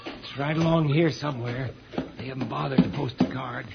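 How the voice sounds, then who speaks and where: An actor playing a grumbling, wisecracking, folksy sidekick in an old-time radio space serial. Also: male voice, mid-range voice, fairly even intonation, fairly quick, loud music behind the voice, natural voice. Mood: urgent, gruff talk. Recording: muffled old radio recording, clear hiss.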